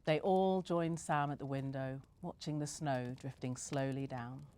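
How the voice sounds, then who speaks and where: Low-voiced woman reading a christmas story, gentle and measured. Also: steady tone, slight background noise. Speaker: story reader. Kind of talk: storybook narration.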